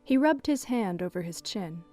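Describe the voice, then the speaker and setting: A woman's voice: medium pitch, expressive, normal speed, darkish tone, soft background music. A woman narrating a scripted adventure story for a fan audio drama.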